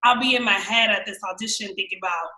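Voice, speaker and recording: A young woman with a New York accent, telling stories as a podcast guest in an animated, slangy way. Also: medium pitch, quick pace, echoey room. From New York, raised in Miami. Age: young adult. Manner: animated, slangy, quotes people in voices. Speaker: guest actress. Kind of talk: casual storytelling chat.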